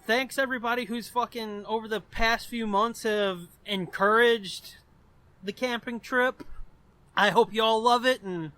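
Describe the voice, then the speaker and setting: A man's voice: high voice, steady tone, unhurried, sharp tone, no room sound. A man co-hosting a podcast in casual, profane, rambling chat. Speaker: podcast co-host.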